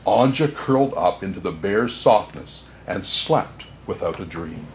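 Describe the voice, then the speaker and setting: Kindly old Santa played by a man for storybook reading; slow pace, very animated pitch. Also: slight background noise. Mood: gentle storytelling. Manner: unhurried, chuckling asides, expressive storytelling.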